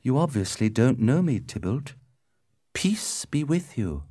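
A man reads as a young romantic hero in a storybook tragedy, trying to keep the peace. The voice is lifted a little, earnest and reasoning, with expressive pitch. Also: a little slow, husky. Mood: calm, conciliatory.

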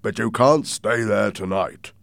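A man voicing a calm, authoritative policeman with a reassuring, businesslike manner in a storybook reading. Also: on the low side, unhurried, gravelly, no echo.

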